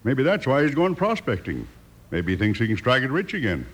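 A man's voice: medium pitch, huge pitch swings, a little fast, fairly bright tone, breathy edge, exaggerated voice. An American actor playing an affable, folksy small-town businessman in a radio western, polite and obliging. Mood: concerned. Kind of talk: 1950s radio western acting.

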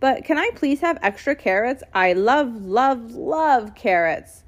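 Storybook girl pal, played by a woman: perky, friendly, enthusiastic, raised and animated voice. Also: fairly high pitch, takes it slowly.

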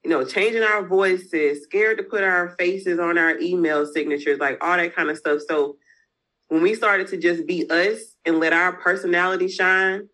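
A woman's voice: on the low side, fairly even intonation, normal speed, fairly bright tone. A woman entrepreneur talking casually as an interview guest, speaking frankly with slang and the odd curse. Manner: candid, frank, uses slang and mild cursing.

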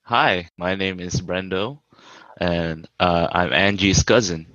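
A man's voice: low-pitched, steady tone, slow pace, a bit bright, dry recording. A young man from the Philippines, a podcast guest giving hesitant, short casual answers. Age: young adult. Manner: hesitant, short replies, laughs often. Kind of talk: casual chat. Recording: dull dry sound.

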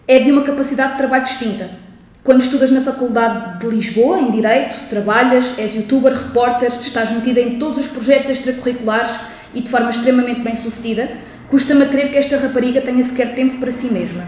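A woman's voice: fairly high pitch, fast talker, a little mellow. A woman, a psychologist hosting a podcast interview in a friendly way. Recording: echoey room.

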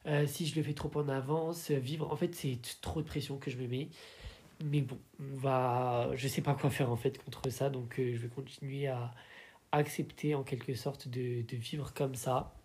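Man, mid-pitched voice, tired, casual solo podcast chat, hesitant, slangy, confiding. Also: fairly even intonation, normal speed, a little mellow. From Paris, France. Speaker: podcast host.

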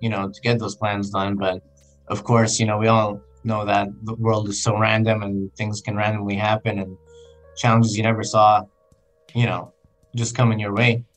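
Male interviewer, lowish pitch, halting and casual, fillers throughout, faint music behind. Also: flat delivery, rough recording. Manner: hesitant, lots of fillers and you-knows.

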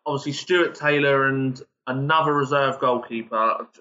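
A man's voice: medium pitch, steady tone, moderate pace, pushed and bright. A man with a mid-range voice is a guest on a podcast, rambling hesitantly and often restarting his sentences. His voice comes through a dull, lo-fi remote line. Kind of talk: casual podcast chat.

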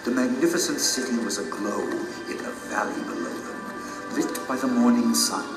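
A man acts as a storyteller recounting an epic fantasy journey. He is theatrical and builds suspense. His voice sits in a roomy space under loud music. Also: mid-range voice, moderate pace, natural voice. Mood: dramatic, wondrous. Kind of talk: dramatic audio drama narration. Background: dramatic music.

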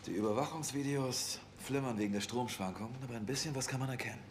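An actor playing a dry, quirky police detective in a dubbed TV drama, questioning in a deliberate way. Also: male voice, medium pitch, slight background noise, close to an everyday voice. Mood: probing.

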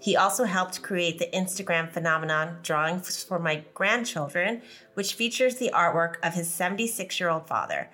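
A woman's voice: fairly low pitch, normal speed, bright, forceful tone. A woman hosting a podcast, reading a scripted introduction and then asking interview questions. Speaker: podcast host.

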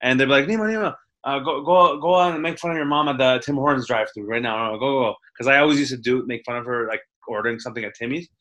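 A man's voice: mid-range voice, fast, hardly any pauses, a bit bright. A young man, a stand-up comedian from the Toronto area, chatting in an interview, rambling with restarts and casual swearing. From Toronto area, Canada.

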